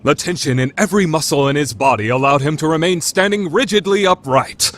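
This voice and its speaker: A man's voice: on the high side, a little fast, fairly bright tone, a little hiss or hum, lightly acted. A man playing a bombastic, deadly serious narrator in an anime radio play. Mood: grandly serious. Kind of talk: dramatic narration for anime fan dub.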